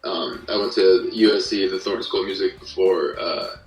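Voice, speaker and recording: A man speaking as an interview guest in casual chat, haltingly rephrasing as he tells his own story. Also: low-pitched. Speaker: podcast guest, guitarist. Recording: roomy, distant mic, faint music.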